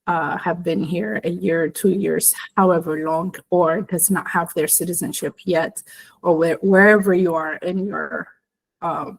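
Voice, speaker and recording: A woman from Ethiopia speaking to an online audience over a video call, thoughtful and unhurried, with many pauses. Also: lowish voice, creaky, poor audio. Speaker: guest speaker. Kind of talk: personal talk to a webinar audience.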